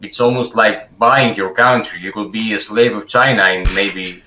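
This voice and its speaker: A man's voice: on the low side, fairly even intonation, normal speed, roomy sound, over music, poor audio. A man from Greece, talking to a stranger in a casual video chat, hesitant and full of fillers.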